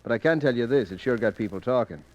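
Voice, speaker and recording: A man's voice: medium pitch, expressive, fast, darkish tone, highs cut off, close to an everyday voice. An actor playing a plain-spoken, folksy gallery doorman in 1940s radio drama style. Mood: wry, gossipy. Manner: folksy, matter-of-fact. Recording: noisy 1940s radio recording.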